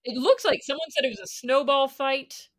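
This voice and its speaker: A woman's voice: sits high, normal speed. A woman hosting a podcast in a casual, chatty style with playful banter. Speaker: podcast host. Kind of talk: casual chat.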